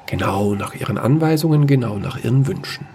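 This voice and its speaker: A man narrating a sci-fi fairy-tale audio story in a measured storytelling manner. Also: medium pitch, lively intonation, dark tone, a little hiss or hum.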